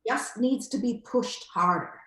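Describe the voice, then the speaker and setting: An actress playing an indignant, inquisitive middle-aged sister in an old-time radio gothic drama, with pressing, gossipy questions. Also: female voice, fairly high pitch, a little slow, bright, forceful tone, echoey room, a little hiss or hum.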